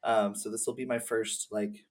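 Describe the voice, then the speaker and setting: A man's voice: on the low side, flat delivery, normal speed. Slangy, effusive young man. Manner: effusive, slangy, uses "like" heavily. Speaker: podcast guest, game streamer. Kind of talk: casual gaming chat.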